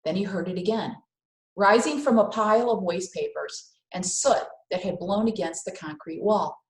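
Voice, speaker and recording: A woman's voice: mid-range voice, moderate pace. Woman reading a storybook in an echoey room. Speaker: read-aloud host. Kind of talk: children's storybook read-aloud. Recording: roomy, echoing indoor sound.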